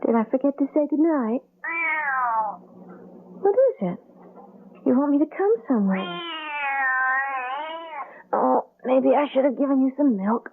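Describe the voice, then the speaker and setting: Through a narrow, vintage radio sound comes a young wife with a notably high voice. She is affectionate yet anxious and easily frightened, played with theatrical expression as in a radio mystery play. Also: vocal fry, exaggerated voice. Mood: uneasy. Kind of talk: old-time radio mystery drama acting. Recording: narrow-band vintage radio drama recording.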